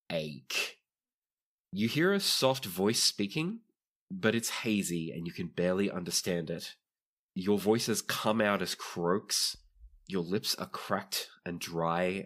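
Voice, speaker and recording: A man's voice: low voice, fairly bright tone. A man narrating a tabletop roleplaying game as the game master.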